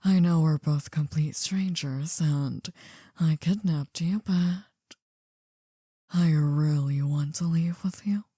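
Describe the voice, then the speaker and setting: An older female crime boss, acted by a woman, murmurs right into the ear in a very dark, mellow whisper. She is slow and deliberate, breathy and possessive, soft but dangerous in an ASMR roleplay, recorded close and dry. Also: sits low, no echo, full character voice. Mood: wistful, confiding. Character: possessive older female mafia boss, soft-spoken, coaxing yet menacing. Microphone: close dry microphone.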